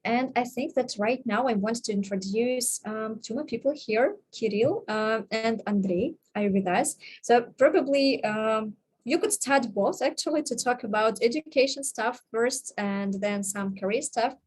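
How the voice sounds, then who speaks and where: A woman's voice: fairly high pitch, measured pace, breathy edge. Friendly woman hosting a webinar. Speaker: webinar host. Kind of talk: moderating a webinar. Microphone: video call.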